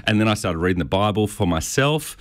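Animated, low-voiced Australian man with a stutter. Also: brisk. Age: middle-aged. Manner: informal, self-deprecating, animated storytelling. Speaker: pastor. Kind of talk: conversational interview answers.

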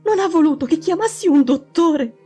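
A woman's voice: very high-pitched, animated, normal speed, dark tone, soft background music, voice pushed up. A woman voicing an anxious, deferential housekeeper in an audiobook, urgent and distressed. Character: anxious, deferential female housekeeper pleading for help.

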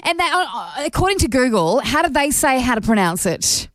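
A woman's voice: sits high, big pitch swings, brisk, no echo, clean studio sound. An Australian woman hosting a radio breakfast show in an upbeat, chatty style. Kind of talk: upbeat radio chat and live reads. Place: radio studio.

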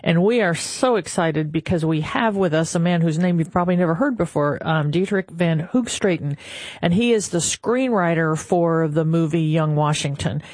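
A woman's voice: sits low, normal speed, darkish tone, no echo. A woman co-hosting a radio talk show, chatting conversationally as she plugs what is coming up. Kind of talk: conversational show promo chat.

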